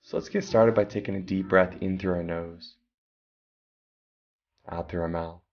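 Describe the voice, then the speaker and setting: A man calmly guiding a meditation, giving slow breathing instructions with long pauses. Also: low-pitched, highs cut off. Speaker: meditation guide. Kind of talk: guided meditation.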